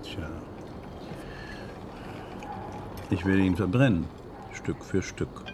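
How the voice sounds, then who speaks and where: A man's voice: deep voice, unhurried, noisy background. Dignified, skeptical old man. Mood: grim. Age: older. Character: dignified, skeptical, disillusioned old male actor. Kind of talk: radio drama acting.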